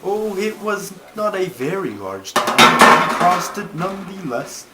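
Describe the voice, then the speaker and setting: A man voicing a puppet turtle who tells stories in an earnest, bossy, deliberate way with formal wording. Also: high voice, sing-song. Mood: earnest storytelling. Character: Terry, a slow, earnest, bossy storytelling male turtle puppet. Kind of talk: storytelling in a puppet comedy. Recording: clearly noisy homemade recording.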